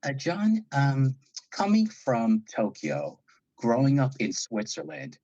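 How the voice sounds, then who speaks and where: A man hosting an education podcast, asking formal, polite questions in a measured way. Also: mid-range voice, sing-song, creaky, dark tone, no room sound. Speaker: podcast host. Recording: dull lo-fi sound.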